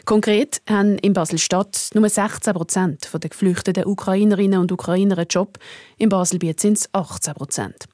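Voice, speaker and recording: A woman's voice: mid-range voice, a little fast, warm tone, no room sound, clean studio sound. A woman with a Swiss German accent reading a scripted radio news report.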